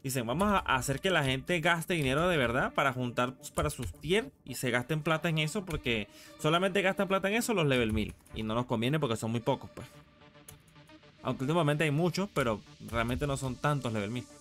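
A man's voice: mid-range voice, lively intonation, fairly quick, a bit bright. A man chatting casually on a live game stream, slangy and sweary as he gripes like a regular player. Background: music.

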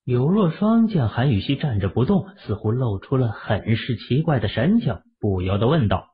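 A man with a raspy voice narrating an audio drama in a steady storytelling manner. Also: medium pitch, moderate pace, phone-quality sound.

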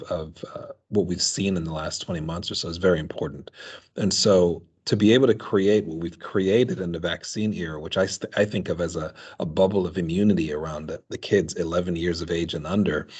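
Very husky, deep-voiced man. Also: a little mellow. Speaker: physician panelist. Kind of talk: explanatory panel answer. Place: indoors, on a video call. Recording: dull video-call audio.

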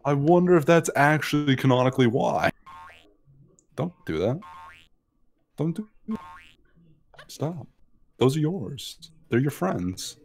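A woman's voice: deep voice, lively intonation, takes it slowly, pauses often, darkish tone, a little hiss or hum. A woman streaming herself playing a video game, chatting casually, swearing, laughing, and reading on-screen text aloud from her home setup on a headset mic. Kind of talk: casual let's-play commentary.

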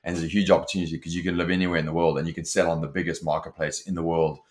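A man's voice: sits low, flat delivery, racing, darkish tone. A man in his forties with a South African accent, a company founder talking casually as an interview guest over a video call, with many restarts and fillers. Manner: many restarts and fillers, fluent and forward-driving. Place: home office with shelves.

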